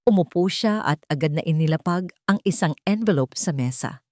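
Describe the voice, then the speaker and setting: A woman narrates scripted romance fiction as a dramatic storyteller. Her pitch sits on the low side, and the recording is dry and free of room sound. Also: animated, normal speed, darkish tone, no room sound.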